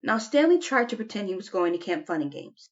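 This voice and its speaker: A woman's voice: medium pitch, very animated, brisk, muffled recording. A woman reading a children's novel aloud as an audiobook narrator. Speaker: audiobook reader.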